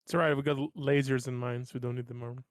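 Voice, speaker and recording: A man playing a tabletop game, chatting casually and thinking aloud in a halting way. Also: medium pitch, level tone, dry recording, clean studio sound. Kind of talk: casual chat.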